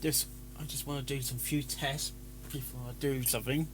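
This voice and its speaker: A man's voice: medium pitch, little pitch movement, normal speed, over music. A man chatting casually as a live-stream reactor, stumbling over his words and interrupting himself. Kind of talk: casual live-stream chat with rehearsed intros.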